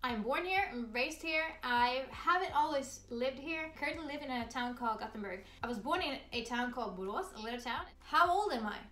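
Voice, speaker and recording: A woman's voice: sits high, expressive, fairly quick, hardly any pauses, a bit bright. A woman in her thirties from Sweden with a Swedish accent, chatting upbeat and bubbly through a vlog Q&A. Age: 30s. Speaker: vlogger. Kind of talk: casual Q&A vlog.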